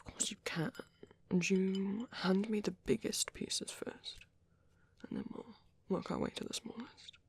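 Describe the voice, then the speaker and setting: A man voicing a caring, gentle vampire priest in a whispery, breathy ASMR roleplay, speaking hesitantly and reassuringly. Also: high voice, measured pace. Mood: soft, caring. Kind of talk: soft-spoken ASMR roleplay.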